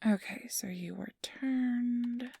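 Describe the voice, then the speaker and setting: A woman playing a friendly, easily flustered registration clerk in a soft-spoken ASMR roleplay, gentle, hesitant and reassuring. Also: a little high, slow, gravelly, slightly rough, full character voice. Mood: soft, businesslike. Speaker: interviewer.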